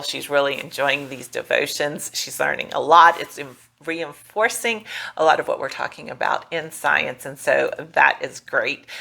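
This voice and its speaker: A woman's voice: sits low, vocal fry, sharp tone. A woman, a homeschooling mother hosting a casual video update in a chatty, informal, explanatory way. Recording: noticeable background noise.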